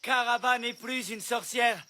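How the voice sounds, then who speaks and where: An actor playing an earnest, pleading young village hero in an animated film. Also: male voice, very high voice, a little slow, a little hiss or hum, heavily acted. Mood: earnest, firm.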